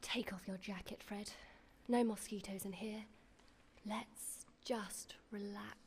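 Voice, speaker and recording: A woman playing a polite, wary young sweetheart in a radio play, speaking in a soft, genteel manner. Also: mid-range voice, fairly even intonation, takes it slowly, a little hiss or hum. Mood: dreamy, intimate.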